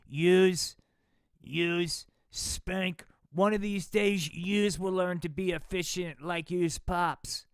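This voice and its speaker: A man voicing a lazy, crude, drunk middle-aged dad who blusters loudly. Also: sits high, fairly even intonation, slow, smooth, no room sound, clean studio sound, pitched above the performer's own voice. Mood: blustering.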